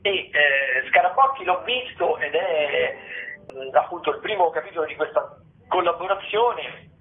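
A man giving conversational commentary as a radio critic, discursive and often pausing with filler sounds. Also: on the high side, expressive. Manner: hesitant, discursive, with filled pauses. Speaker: theatre critic, radio guest presenting listings. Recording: tinny phone line on radio.

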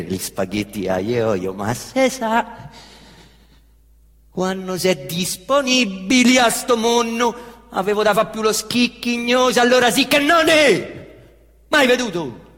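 A defiant Roman street hustler. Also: male voice, medium pitch. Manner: wild swings between muttering and shouting, streetwise cursing. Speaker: stage actor. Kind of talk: theatrical monologue. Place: theatre stage. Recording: echoey theatre reverb.

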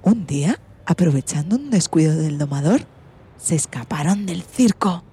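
A woman's voice: low voice, lively intonation, moderate pace, mellow, slight background noise. A woman with an accent from Spain, hosting and narrating a children's story from a script. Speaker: podcast host and storyteller.

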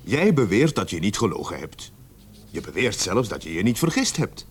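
Firm police officer with lively intonation. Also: male voice, on the low side, moderate pace, slightly rough. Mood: firm, reasoning. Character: reasonable, patient but firm male police officer. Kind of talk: radio drama acting.

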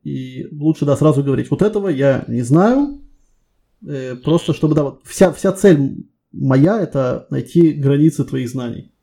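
A man's voice: a little high, animated, moderate pace, creaky, mellow. A man working as a technical interviewer, giving casual feedback in a reassuring, encouraging way.

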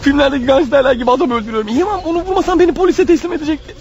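A guilt-ridden, accidental killer in a radio drama. His high voice rushes along in agitated stammers, muttering to himself over faint music. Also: steady tone, a little mellow, highs cut off. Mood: frantic. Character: panicky, guilt-ridden former clerk turned accidental killer. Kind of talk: radio theatre acting.

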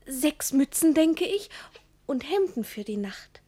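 A woman's voice: sits high, very animated, takes it slowly, warm tone, exaggerated voice. An actress with speech playing a naive, candid young girl in a rhymed verse radio play, guileless and earnest. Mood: demure.

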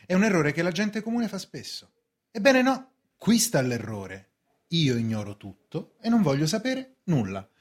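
An actor playing an indignant, bewildered bank clerk of thirty in a theatrical radio drama, protesting and questioning. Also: male voice, a little high, sing-song, normal speed. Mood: indignant, confused.

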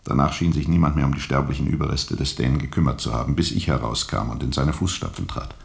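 An actor playing a world-weary steamboat captain who tells of his journey gravely and reflectively, with heavy pauses, in a radio drama. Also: extremely low pitch, fairly even intonation. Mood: grave, haunted. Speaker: narrator and lead character.

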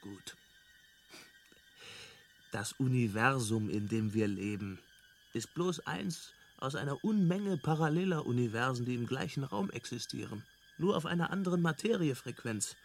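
An actor playing an unflappable galactic hitchhiker in a radio drama, explaining things in a nonchalant, amused way. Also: male voice, medium pitch, normal speed, vocal fry, a little hiss or hum, natural voice. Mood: calm, explanatory. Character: breezy, unflappable male galactic hitchhiker, experienced field researcher.

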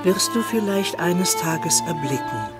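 An actress playing a wise old woman of a forest people in a radio drama, speaking in solemn, measured aphorisms. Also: fairly low pitch, lively intonation. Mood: knowing, gentle. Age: older. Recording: music clearly behind the voice.

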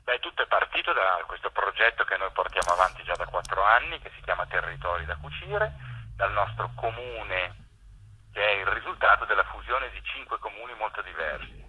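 A man, a theatre maker giving a radio interview by phone with emphatic, deliberate phrasing over a muffled line. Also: on the low side, animated, slightly rough.

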